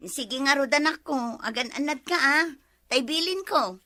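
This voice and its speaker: A woman's voice: high voice, normal speed, sharp tone. A woman with an Ilocano accent acting an anxious, hard-pressed mother working overseas, in a comedy drama. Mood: anxious, pressing.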